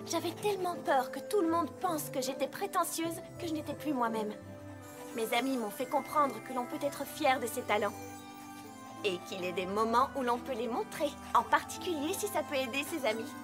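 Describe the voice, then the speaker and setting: A studious young unicorn mare from a children's cartoon, acted by a woman, with a high voice. The character speaks earnestly and modestly, explaining things kindly. Music plays clearly behind the voice. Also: normal speed, close to an everyday voice. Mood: warm, reflective. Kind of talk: French-dubbed children's cartoon acting.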